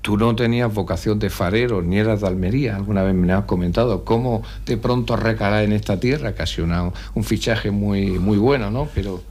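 Low-voiced man interviewing on radio. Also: darkish tone, breathy edge. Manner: friendly, halting questions. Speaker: radio historian co-host.

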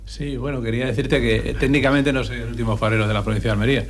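A man from Spain, a former lighthouse keeper, answering radio interview questions in a relaxed, hesitant way. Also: medium pitch, very fast. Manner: relaxed, anecdotal, many hesitations. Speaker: guest, retired lighthouse keeper.

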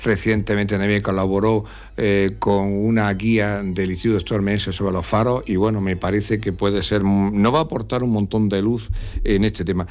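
A man hosting a weekly history segment on radio, asking halting, friendly questions. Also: lowish voice, fairly even intonation, a little mellow.

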